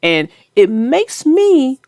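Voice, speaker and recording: A woman's voice: sits high, very animated, very slow, a little mellow, no echo, studio quality. A woman hosting a children's story show, chatting in a folksy, playful, teasing way. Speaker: host and storybook narrator. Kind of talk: children's read-aloud and casual chat about the story.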